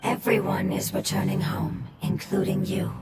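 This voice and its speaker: A woman voicing a gentle, compassionate spirit in a video game, serene and solemn in her delivery. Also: mid-range voice, little pitch movement, normal speed, gravelly, natural voice. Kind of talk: scripted video game dialogue. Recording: echoing processed sound under loud music. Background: loud music.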